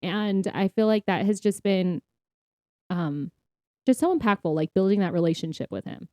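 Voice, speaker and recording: Mellow woman in casual podcast chat. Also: medium pitch, animated, normal speed, no room sound. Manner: conversational, frequent "like". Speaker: podcast co-host. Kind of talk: casual, personal faith conversation.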